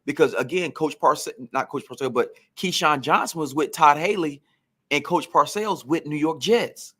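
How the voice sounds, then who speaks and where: A man's voice: a little high, normal speed, a bit bright, slightly rough. A man, a former pro football receiver, telling career stories on a podcast in a reflective, emphatic way.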